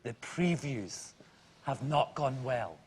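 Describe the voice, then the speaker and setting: A man's voice: mid-range voice, lively intonation, slow pace, slightly rough. A man co-hosting a live stage show with casual, playful banter, mixing Hindi into his English. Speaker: co-host. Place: on stage in a radio theatre. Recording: noisy live stage recording with clicks.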